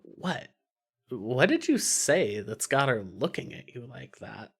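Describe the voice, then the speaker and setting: Story narrator, mid pitch, animated intonation, wry, expressive scripted reading. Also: takes it slowly, gravelly, slightly rough.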